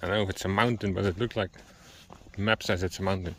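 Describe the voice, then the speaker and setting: A man's voice: low-pitched, fairly even intonation, quick pace, a little hiss or hum. A man chatting casually in non-native English while walking outdoors in a cold forest, chuckling and hesitating as he goes. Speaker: vlogger.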